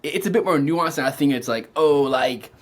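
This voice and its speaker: A man in casual podcast talk, hedging his points with many fillers. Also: a little high. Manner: quick, hedging, filler-heavy. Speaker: podcast co-host. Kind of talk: casual film discussion.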